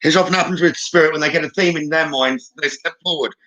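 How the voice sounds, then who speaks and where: A man hosting a live psychic reading stream, talking informally to viewers and thinking aloud in a halting way as he reads comments. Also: on the high side, pushed and bright.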